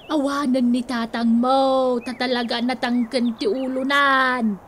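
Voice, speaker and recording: A woman's voice: sits high, flat delivery, measured pace, fairly bright tone, a little hiss or hum. An actress playing a long-suffering, nagging older mother and wife in a Filipino radio comedy drama, with a Filipino accent. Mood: pleading, scolding.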